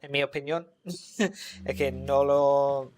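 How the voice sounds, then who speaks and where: A man's voice: medium pitch, slow, a little hiss or hum. Rambling man chatting on stream. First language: English. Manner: rambling, relaxed, heavy on filler words. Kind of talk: casual chat with a live audience while gaming.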